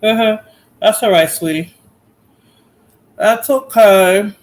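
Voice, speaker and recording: A woman's voice: medium pitch, slow. A woman with a Bronx accent hosting a casual livestream chat, laughing often and repeating herself. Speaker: livestream host.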